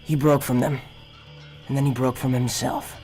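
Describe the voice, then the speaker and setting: An actor playing a haunted, war-weary army captain, narrating gravely in the first person in a radio drama parody. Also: male voice, medium pitch, level tone, normal speed, darkish tone, faint music behind, natural voice. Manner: grave, hard-boiled.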